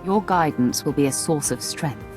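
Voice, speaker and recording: An actress playing a composed, commanding female warrior lord in a video game, speaking in a measured, formal way. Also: low voice, natural voice. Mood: composed, fond. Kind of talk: video game cutscene acting. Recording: loud game music under dialogue. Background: game score.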